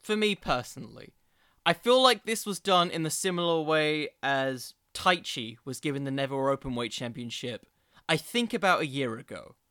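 A man's voice: fairly high pitch, animated, moderate pace, sharp tone, clean tone, dry recording, studio quality. A man hosting a solo podcast, giving casual, opinionated commentary in an informal, digressive way. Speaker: podcast host.

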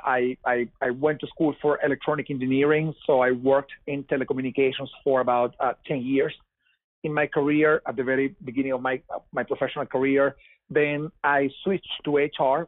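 A man's voice: medium pitch, flat delivery, fairly quick, no echo. Venezuelan man on a tinny web call. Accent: Venezuelan Spanish. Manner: repeats words, many "you know" fillers, laughs easily. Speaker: guest. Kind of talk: casual interview chat. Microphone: over a web call. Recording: dry, phone-like web call.

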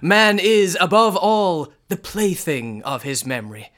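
An actor playing an aloof, dreamy young bohemian painter in a radio drama, speaking in lofty, precise, philosophical phrases. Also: male voice, high voice, big pitch swings, measured pace, a bit bright, heavily acted.

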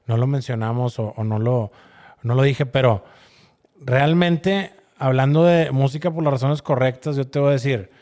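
A man's voice: medium pitch, normal speed, darkish tone. A man. He talks in casual podcast style, earnest and rambling, leaning heavily on slang.

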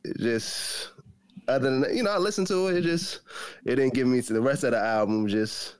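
A man on a music review panel talking casually in a plainspoken, halting way. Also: mid-range voice, clean tone, no echo. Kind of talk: casual chat.

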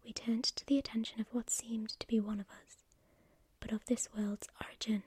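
A woman narrating a story in a breathy whisper, with slow, hushed, deliberate reading. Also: a little high, flat delivery, no echo.

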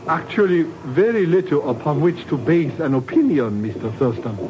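An actor playing a principled Indonesian patriot man in a 1950s radio drama, speaking with measured, courteous formality. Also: fairly high pitch, expressive, close to an everyday voice. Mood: politely firm. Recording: muffled, noisy old radio recording.

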